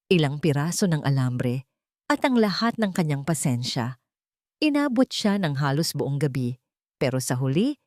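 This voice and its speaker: Filipino woman narrating, lowish voice, very dry sound. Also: mellow, no echo. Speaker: storyteller, narrator. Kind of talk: scripted dramatic story narration.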